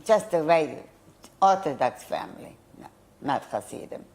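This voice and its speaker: A woman's voice: low voice, lively intonation, normal speed, a little mellow, slight background noise. An older woman whose first language was German, recalling her childhood in an oral history interview, hesitant and searching for words. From Poland. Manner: hesitant, searching memory with restarts.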